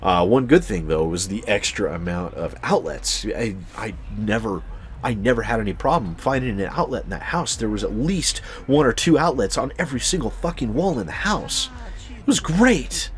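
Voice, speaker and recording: A man's voice: lowish voice, animated, normal speed, a little hiss or hum. A man telling a casual, rambling personal story as a channel host, swearing freely with exaggerated emphasis.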